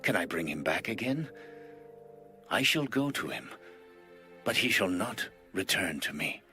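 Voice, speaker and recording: Resigned grieving king, low forceful voice, solemn measured delivery, audio Bible drama, faint music. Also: very animated, full character voice. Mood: solemn grief.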